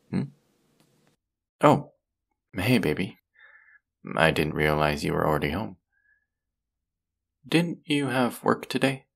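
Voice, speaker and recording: A young man plays a worn-out college boyfriend in an intimate audio roleplay. His voice is extremely low with a gravelly fry. He talks slowly and softly, drowsy, hesitant and affectionate. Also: big pitch swings, full character voice. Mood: sleepy, affectionate. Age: young adult. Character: tired, overworked, affectionate college-student boyfriend.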